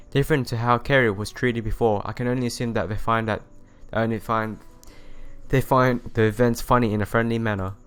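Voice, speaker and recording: A man's voice: lowish voice, a bit bright. A man reading visual novel narration aloud as a let's player, telling it expressively and sometimes stumbling and rereading phrases. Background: music.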